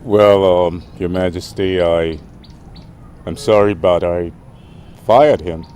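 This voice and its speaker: An actor playing a haughty, short-tempered royal man in a film drama, with a pompous, halting delivery. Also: sits low, fairly even intonation, warm tone, slight background noise. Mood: contemptuous outrage.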